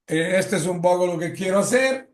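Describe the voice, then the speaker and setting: A man with an Italian accent gives a lecture in Spanish, often halting with fillers and correcting himself. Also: high-pitched, expressive. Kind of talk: lecture presentation. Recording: echoey room.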